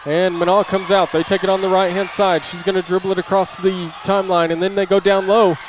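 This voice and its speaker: A man's voice: sits high, level tone, a little fast, a crowd in the background. A man doing live play-by-play basketball commentary in short, clipped running calls, as a sports announcer. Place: indoor gymnasium during a basketball game. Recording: noisy live gym broadcast.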